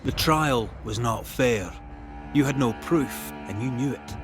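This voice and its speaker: An actor playing a shrewd ghost hunter in a dramatic video game, confronting authority with deliberate, eloquent, accusing speech. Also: male voice, medium pitch, over music, natural voice. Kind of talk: dramatic game storytelling and interrogation.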